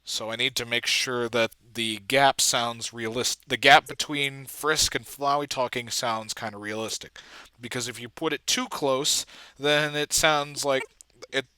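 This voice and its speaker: A man giving a casual walkthrough as an amateur video editor, thinking aloud haltingly and trailing off. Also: mid-range voice, steady tone, bright, forceful tone, clean tone, no echo. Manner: halting, trailing off mid-thought.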